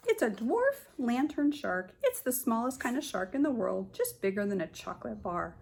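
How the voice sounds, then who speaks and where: A woman kindergarten teacher reads a picture book aloud to young children, pausing to explain and point things out in simple words. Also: fairly high pitch, big pitch swings, creaky, darkish tone, slightly rough.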